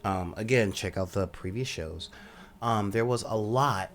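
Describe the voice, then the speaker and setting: Soft-spoken male podcast host with a lowish voice; loose, rambling delivery. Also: measured pace, a little hiss or hum. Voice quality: says he has a high voice. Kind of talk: casual monologue.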